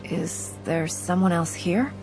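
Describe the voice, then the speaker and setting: A skeptical, confident heroine from a space fantasy game. Her cool, lowish voice is direct and questioning, with clear music behind. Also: slow pace, low-quality recording. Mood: skeptical, resolute. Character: confident, skeptical young female Jedi hero. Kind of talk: dramatic video game voice acting.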